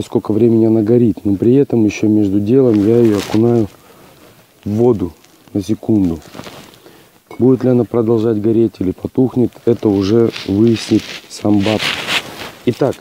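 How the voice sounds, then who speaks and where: A man with a hoarse voice from a cold, testing gear outdoors in a plain, matter-of-fact way with hesitant fillers. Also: on the low side, fairly even intonation, moderate pace, warm tone, a little hiss or hum. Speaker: outdoor channel host. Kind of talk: casual outdoor gear test. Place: outdoors on a rocky hillside.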